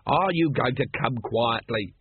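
A man voicing a weary male watch captain in a story, with flat, curt authority. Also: medium pitch, unhurried, slightly rough, phone-quality sound.

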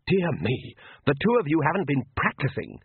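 A man's voice: fairly high pitch, very animated, moderate pace, husky, no room sound, narrow, tinny audio, voice pushed up, full character voice. A man voicing a vain, domineering vampire count and father in an audiobook, lecturing and pompous.

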